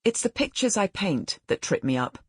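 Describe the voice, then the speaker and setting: Defensive, questioning woman of forty acted by a female narrator, husky, lively audiobook dialogue. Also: medium pitch, moderate pace, no echo, studio quality, voice pushed up. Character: wry, defensive forty-year-old woman novelist.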